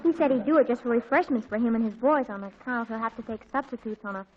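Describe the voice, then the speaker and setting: Actress as an eager teen girl, high and bubbly, earnest; dull old radio sound. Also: fast, close to an everyday voice. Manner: earnest, excitable, wheedling. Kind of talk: 1940s radio acting.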